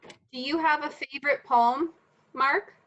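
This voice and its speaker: A woman with a high-pitched voice moderates a virtual event. She asks her guest questions in a casual, conversational style. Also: steady tone, unhurried, fairly bright tone, lo-fi sound.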